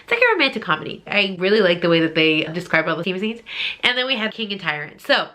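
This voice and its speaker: A woman's voice: lowish voice, very animated, fast, bright, forceful tone. A woman talking casually as a book reviewer on her vlog, informal and gushing, with emphatic repetition. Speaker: book reviewer, channel host.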